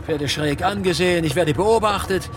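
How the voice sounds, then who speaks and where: An actor playing an agitated, self-pitying middle-aged teacher in a radio drama, emphatic, impatient and sardonic. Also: male voice, high voice, normal speed, loud music behind the voice, natural voice. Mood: desperate. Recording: street-like traffic noise behind the call.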